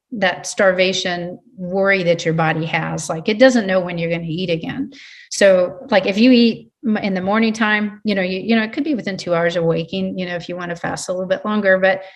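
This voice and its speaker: A woman in her fifties, a sports nutritionist, giving earnest advice in a casual podcast chat, often restarting her sentences. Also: mid-range voice. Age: 50s. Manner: earnest, advising, many restarts.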